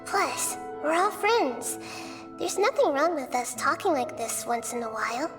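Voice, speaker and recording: A woman voicing a wise, gentle, childlike goddess in a game, speaking in a measured, patient, articulate way. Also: high-pitched, animated, music in the background. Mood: calm, knowing. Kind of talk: animated game voice acting.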